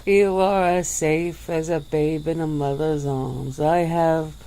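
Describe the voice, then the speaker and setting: A woman voicing a centuries-old female vampire who speaks slowly and softly, with breathy little laughs, in an ASMR roleplay. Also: low voice, steady tone, slight background noise. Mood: teasing, languid. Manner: slow, soft-spoken, with breathy little laughs.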